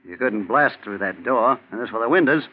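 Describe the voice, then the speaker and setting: A man's voice: medium pitch, lively intonation, fairly quick, close to an everyday voice. Man acting sardonic crook on tinny old radio. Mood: disgusted, sarcastic. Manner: sardonic, grumbling tough-guy talk. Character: sour, skeptical male crook, cautious and weary of schemes. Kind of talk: 1940s radio crime drama acting. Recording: thin, tinny 1940s radio recording.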